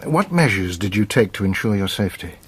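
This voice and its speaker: An actor playing a composed, self-assured police inspector in a radio drama, polite and measured in manner. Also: male voice, lowish voice, sing-song, husky. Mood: measured, courteous.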